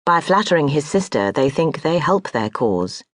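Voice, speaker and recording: An audiobook narrator reading historical fiction in a measured, even storytelling manner. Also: mid-range voice, no echo. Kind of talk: scripted literary narration. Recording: dry close studio audiobook.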